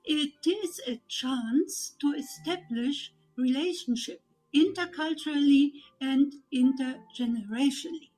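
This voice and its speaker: An older woman with a German accent speaks in a high voice, calm and measured, with small pauses and fillers as she gives a personal testimony. Soft music plays faintly underneath. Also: rough recording. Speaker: volunteer.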